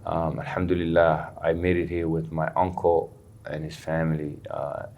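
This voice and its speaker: Deep-voiced man telling his story. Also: little pitch movement, normal speed, vocal fry, a little mellow, slight background noise. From Somalia. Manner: earnest, candid, sprinkles Arabic phrases. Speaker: guest, recovery center founder. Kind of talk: personal storytelling interview. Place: studio.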